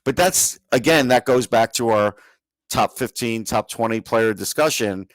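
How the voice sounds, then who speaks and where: A man's voice: medium pitch, a little slow, no room sound, low-quality recording. A man co-hosting a sports podcast, talking through analysis in a conversational way.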